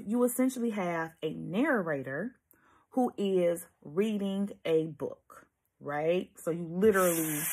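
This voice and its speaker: A woman hosting a casual vlog, talking in an animated, emphatic and sassy way with a slightly husky voice. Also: lowish voice. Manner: animated, emphatic, sassy asides with pet names. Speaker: host of an audiobook review vlog. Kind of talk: casual chatty video commentary.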